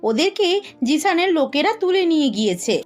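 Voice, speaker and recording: Lady doing a student girl in an audio drama, high swoopy voice. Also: very animated, a little fast, a little mellow, faint music behind. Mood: insistent. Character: young female student reporting an abduction. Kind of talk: Bengali audio story acting.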